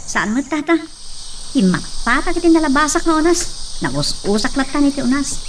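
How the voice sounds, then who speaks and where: Woman doing a worried young daughter, high voice, sounds kinda far off with hiss. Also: big pitch swings, brisk. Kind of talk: audio drama acting.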